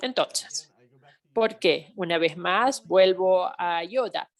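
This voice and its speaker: A woman simultaneously interpreting a health training webinar, speaking in halting phrases with pauses and self-corrections. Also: lowish voice, animated. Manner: halting delivery with pauses and restarts.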